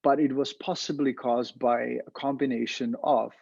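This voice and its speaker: A man's voice: medium pitch, steady tone, normal speed, creaky, darkish tone, breathy edge, dry recording, highs cut off. A man with a Czech accent, a veterinarian host giving an educational talk, explaining step by step and often repeating words as he searches for them.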